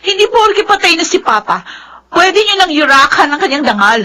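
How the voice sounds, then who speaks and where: A fiercely defiant daughter in a radio melodrama. Her voice is very high and piercing, and it swings widely with emotion as she confronts others in defence of her dead father. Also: very animated, moderate pace, sharp tone, full character voice. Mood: outraged. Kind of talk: Filipino radio melodrama acting.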